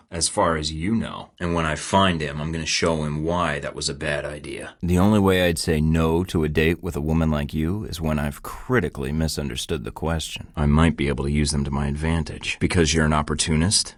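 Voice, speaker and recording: An actor voicing a young male secret agent in a video game, dry and wry with sardonic one-liners. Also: very deep voice. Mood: cocky. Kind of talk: game voice lines. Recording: studio game dialogue.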